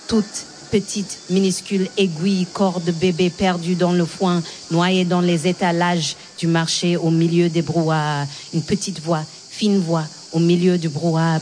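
Frightened young woman , as an actress plays her, low voice. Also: darkish tone, a little hiss or hum, close to an everyday voice. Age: 30s. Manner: incantatory, repeating words over and over. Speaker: lead actress. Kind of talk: stage drama.

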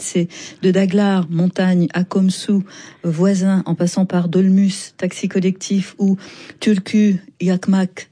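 Low-pitched, mellow woman interviewer. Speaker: interviewer, book programme host. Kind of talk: conversational literary interview.

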